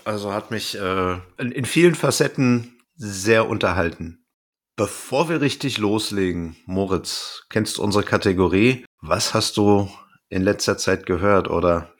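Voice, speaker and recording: A man's voice: lowish voice, normal speed. A man hosting a podcast in an easygoing, informal, chatty way. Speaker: podcast host. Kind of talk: casual chat.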